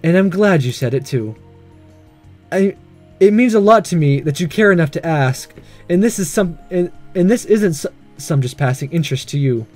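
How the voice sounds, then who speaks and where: A man voicing a gentle, measured woman teacher and principal in a visual novel, kind and careful in manner. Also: on the high side, lively intonation, soft background music. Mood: kind, serious. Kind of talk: reading visual novel dialogue aloud.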